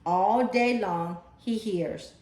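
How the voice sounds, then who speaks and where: A woman reading a children's picture book aloud in an expressive, deliberate storytelling style. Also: medium pitch. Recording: echoey room.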